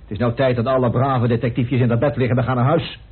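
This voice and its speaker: An actor playing a defiant, scornful suspect in a radio murder mystery, challenging and dismissive. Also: male voice, mid-range voice, little pitch movement, quick pace, husky. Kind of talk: radio play acting in Dutch. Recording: muffled old radio drama recording.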